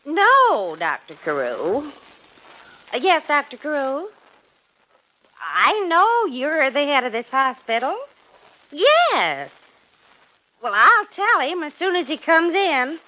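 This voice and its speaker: An actress playing a sharp-tongued, bossy female hospital secretary in a 1940s radio drama. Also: high voice, wildly swooping pitch, slow pace, pauses often, exaggerated voice. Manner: scolding, bossy. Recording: dull old radio recording.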